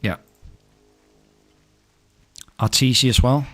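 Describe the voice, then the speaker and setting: Relaxed Danish man chatting while gaming. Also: medium pitch, faint music behind. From Denmark. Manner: halting, restarts often, relaxed. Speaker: gaming streamer. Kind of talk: casual chat reading viewer questions.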